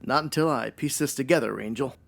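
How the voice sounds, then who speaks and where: A man's voice: mid-range voice, fairly quick, gravelly, close to an everyday voice. A man playing a brusque, slangy, wisecracking private detective in a noir comedy radio drama.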